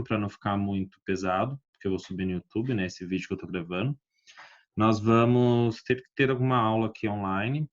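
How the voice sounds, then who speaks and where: A Brazilian man teaches an online history class in an easy, conversational way, often asking questions and answering them himself. His voice sits at an ordinary middle pitch. The recording is a bit dull and lo-fi. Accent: Brazilian Portuguese. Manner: chatty, many rhetorical questions and tag words. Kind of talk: casual recorded online lesson.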